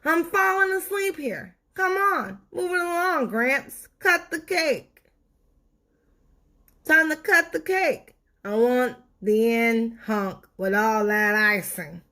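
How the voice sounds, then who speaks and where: A woman voicing a loud, nagging, candy-crazed tooth in a storybook, whiny, bossy and impatient. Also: high voice, lively intonation, takes it slowly, voice pushed up, exaggerated voice. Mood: bored, impatient.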